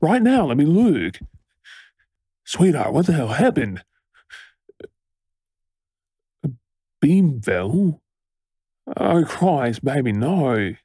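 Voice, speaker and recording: An Australian man playing a frantic, tender boyfriend fussing over his partner in an intimate audio role-play, stammering with emotion, his voice husky. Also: fairly high pitch, sing-song, slow pace, pauses often, dark tone, no room sound, studio quality, exaggerated voice. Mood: alarmed, worried.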